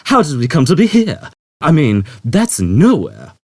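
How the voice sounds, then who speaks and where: A brash, impatient space rogue played by a man for a sci-fi audiobook. He talks in slangy exclamations, drawling out words, raised in pitch and swooping, with a slightly rough edge. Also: huge pitch swings, unhurried, no echo, clean studio sound, heavily acted. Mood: amazed. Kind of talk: audiobook character voice.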